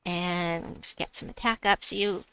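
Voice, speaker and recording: A woman's voice: on the low side, fairly even intonation, slow, fairly bright tone, a little hiss or hum, low-quality recording. A woman with a slight New York accent, streaming a game and chatting with her viewers as she plays. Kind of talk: casual live-stream chat while gaming.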